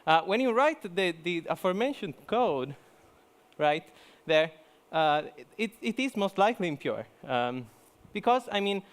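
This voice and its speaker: A young man speaks to a conference audience in a relaxed, joking way. His voice sits a little high, and he often restarts his sentences and fills pauses with "uh" and "um". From Italy. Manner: chatty, many fillers and restarts. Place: conference hall.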